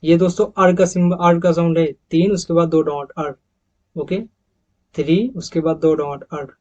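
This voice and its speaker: An Indian man with a slightly high voice teaches English pronunciation over a slide deck, addressing viewers as friends. He says each example word several times in a row. The recording is dull and lo-fi. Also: level tone, darkish tone. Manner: repeats model words slowly, addresses viewers as friends. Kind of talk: phonetics lesson over slides.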